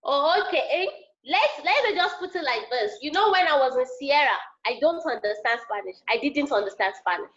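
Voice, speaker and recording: High-voiced Nigerian woman, lo-fi call. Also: a little fast, few pauses. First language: Yoruba. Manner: animated, repeats herself for emphasis. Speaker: guest speaker, teacher. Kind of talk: casual explaining to a class. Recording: dull lo-fi video call audio.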